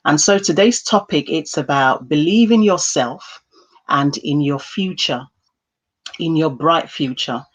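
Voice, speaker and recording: A woman's voice: deep voice, unhurried. A woman hosting a live interview podcast over a video call, talking in an upbeat way with many fillers. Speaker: podcast host. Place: indoor room.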